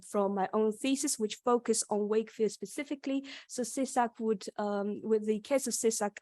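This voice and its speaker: A woman's voice: mid-range voice, a little mellow, dry recording. A young woman with a Yorkshire accent, a PhD student giving an academic conference presentation, with frequent ums and restarts. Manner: hesitant, many ums and restarts.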